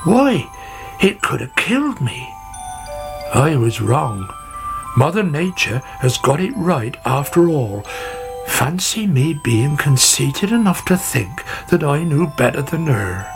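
Guy doing a grumbly old grandpa for a storybook, really slow, up and down pitch, music behind. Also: very animated, vocal fry, heavily acted. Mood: startled, humbled. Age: older. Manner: muttering, exclaiming, opinionated. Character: grumbling, conceited old grandfather gardener, later humbled. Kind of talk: storybook character voice.

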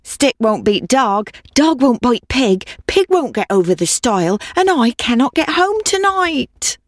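A woman voicing a weary old woman who chants her troubles in a slow, pleading way, in a folk tale reading. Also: fairly high pitch, dry recording, studio quality, pitched above the performer's own voice. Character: weary old woman stuck far from home.

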